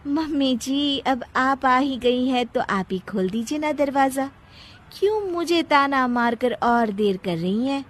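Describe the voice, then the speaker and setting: A woman's voice: sits high, measured pace. A woman voicing a lazy, cheeky young daughter-in-law in a cartoon family story, whiny and nonchalant. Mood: reluctant.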